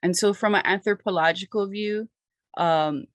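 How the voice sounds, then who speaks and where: A woman's voice: medium pitch, normal speed, no echo. A woman from Hawaii, a botanist, giving an earnest academic lecture with slides. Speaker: botanist presenting research.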